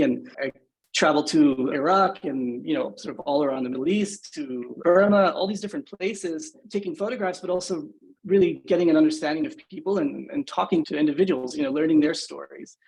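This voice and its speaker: A man's voice: on the high side, gravelly, a little mellow, low-quality recording. A man from Singapore in his thirties, a podcast guest talking thoughtfully with lots of "you know" and "right?" fillers. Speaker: guest, agency founder. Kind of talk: podcast interview.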